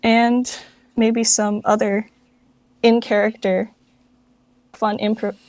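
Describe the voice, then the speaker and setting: A woman's voice: on the high side, level tone, slow, darkish tone, dry recording. A woman chatting informally as an interview guest, peppering her talk with "like". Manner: informal, filler-heavy with frequent "like". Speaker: guest, fan project director. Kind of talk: casual interview chat.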